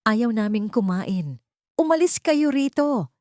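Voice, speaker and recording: Defiant little boy, played by a female narrator, high and angry shouts for an audiobook melodrama. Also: animated, takes it slowly, no echo, clean studio sound. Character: angry, defiant young boy, eldest son.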